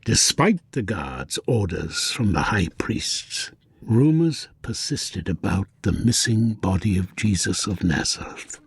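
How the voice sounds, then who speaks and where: A man reading scripted narration for an audio drama in a measured storytelling way. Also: lowish voice, lively intonation, vocal fry, husky, no room sound. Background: music.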